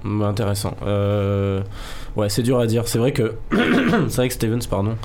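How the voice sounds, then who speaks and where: A slightly hoarse man hosting a casual sports discussion, speaking informally and often thinking aloud as he goes. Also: lowish voice, fairly even intonation, normal speed, mellow, a little hiss or hum. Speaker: host of an MMA discussion show.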